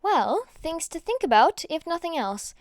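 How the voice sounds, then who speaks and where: A woman voicing a poised, upbeat teenage girl who speaks politely and briskly, organizing others in an audio story. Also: very high voice, big pitch swings, no echo, clean studio sound, voice pushed up. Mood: cheerful.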